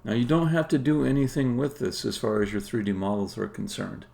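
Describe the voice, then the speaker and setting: A man, a game developer, casually explaining his work on a live stream in a halting, think-aloud way. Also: medium pitch, steady tone, darkish tone. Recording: clear background noise.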